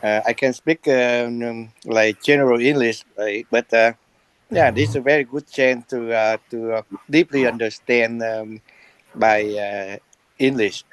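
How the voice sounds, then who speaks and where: A man with a Vietnamese accent speaking as a class participant on a video call, halting with many fillers. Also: mid-range voice, fairly even intonation, clean tone, no room sound, rough recording.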